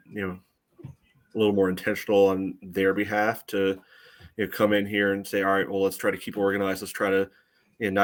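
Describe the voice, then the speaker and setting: A man with a fairly low voice gives casual sports analysis as a podcast co-host. He hedges as he goes, padding his points with frequent "you know"s. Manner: hedging, peppers talk with "you know". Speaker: co-host of a soccer fan podcast.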